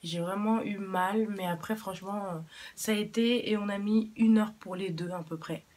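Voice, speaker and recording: A woman's voice: mid-range voice, fairly even intonation, normal speed, a little mellow. A young woman born in Cuba who grew up in France, chatting casually and frankly to camera, with many fillers and casual swearing. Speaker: vlogger.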